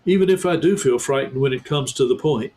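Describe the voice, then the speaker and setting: A man's voice: mid-range voice, fairly quick, darkish tone, natural voice. A man reading aloud and voicing an earnest, brave eldest boy. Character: eldest boy, brave but honest about fear.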